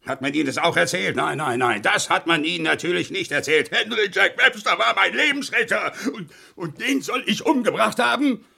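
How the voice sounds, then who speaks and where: A proud veteran, creaky and indignant. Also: male voice, sits high, lively intonation, moderate pace, hardly any pauses, pushed and bright, heavily acted. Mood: shaken, bitter. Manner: defiant, theatrical, bitter. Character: proud, indignant male war veteran accused of murder. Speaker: radio play actor. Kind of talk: German crime radio play acting, mid to late 20th century.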